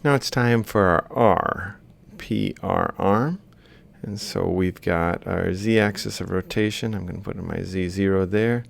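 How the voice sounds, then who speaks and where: Very gravelly, low-voiced man lecturing. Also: unhurried. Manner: step-by-step, thinking aloud with self-corrections. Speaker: robotics lecturer. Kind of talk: informal worked-example lecture, explaining while drawing. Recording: faint background noise with low rumble.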